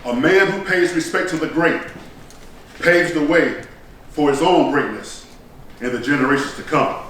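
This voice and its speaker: A man performing a theatrical monologue like a rousing speech, solemn and emphatic, with deliberate dramatic pauses. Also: on the high side, fairly bright tone. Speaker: stage performer delivering a monologue. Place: echoey performance space, recorded from a distance. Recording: roomy echoing hall, distant mic, faint noise.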